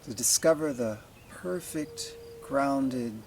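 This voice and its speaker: A man leading a guided meditation as a teacher, speaking in slow, deliberate phrases with long pauses. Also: medium pitch, noisy background.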